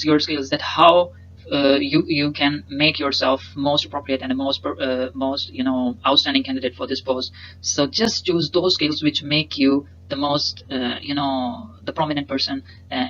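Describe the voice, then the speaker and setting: A young man whose first language is Punjabi, giving a webcam lesson and explaining slides in a halting way, full of fillers and repeated words. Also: a little high, little pitch movement, fairly bright tone, highs cut off. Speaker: instructor. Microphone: webcam in a corner inset.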